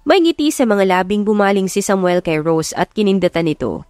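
A woman's voice: mid-range voice, very animated, fairly quick, darkish tone, no echo, studio quality. A woman reading a scripted romance story as a dramatic audiobook narrator.

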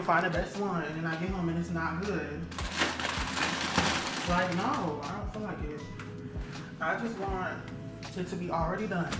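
A young adult vlogger chatting casually to viewers while putting groceries away. The talk rambles and keeps turning to the viewers as y'all, picked up by a camera across a home kitchen. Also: mid-range voice. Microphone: camera set across the kitchen. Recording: echoey kitchen with loud music behind.

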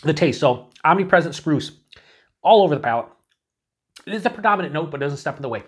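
Forty-something guy rambling thru a beer review, kinda husky, a bit high-pitched. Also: animated, quick pace. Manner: chatty, thinking aloud with many fillers and restarts. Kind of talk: casual beer review to camera. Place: small indoor room with shelves of beer cans.

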